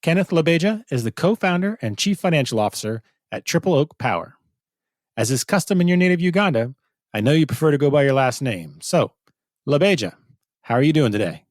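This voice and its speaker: A man's voice: fairly high pitch, animated, brisk, no echo, studio quality. Man hosting an upbeat podcast. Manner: upbeat, scripted intro then conversational questions. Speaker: podcast host.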